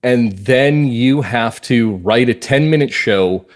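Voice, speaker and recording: An American man talking as a guest writer in a conversational interview, explaining things reflectively and emphatically in short measured phrases. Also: mid-range voice. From northern Massachusetts, US. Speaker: guest playwright and comedy writer.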